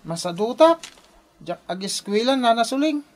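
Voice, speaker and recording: A very high, boyish voice of a spoiled rich schoolboy in a radio drama , acted by a woman. He is lazy and cocky, and he talks in a boastful, taunting way. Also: lively intonation, moderate pace, natural voice. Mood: sulky, lazy.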